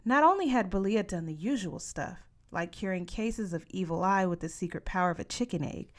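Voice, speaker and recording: Expressive woman reading a story. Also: mid-range voice. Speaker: audiobook reader. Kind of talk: expressive children's novel narration.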